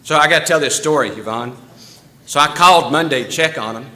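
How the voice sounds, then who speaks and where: Bright-voiced folksy man. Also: fairly high pitch, a little fast. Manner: folksy, chatty, laughs easily, with small restarts. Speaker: church member giving announcements. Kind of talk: casual church announcements. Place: church meeting room.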